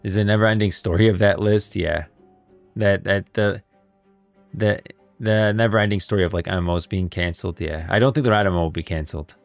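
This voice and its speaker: A man with a low, creaky voice talks casually as a livestream host. His delivery is informal and halting, often repeating phrases as he thinks aloud. The sound is close and dry, with faint music underneath. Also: no room sound. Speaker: streamer, host of a gaming lore stream. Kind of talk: casual livestream chat, reading posts aloud.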